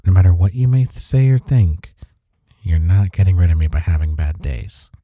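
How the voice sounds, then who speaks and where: Devoted boyfriend for comfort audio roleplay, played by a male actor: low, very dark warm voice, soft-spoken, gentle, reassuring. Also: moderate pace, no echo, clean studio sound. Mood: tender, reassuring.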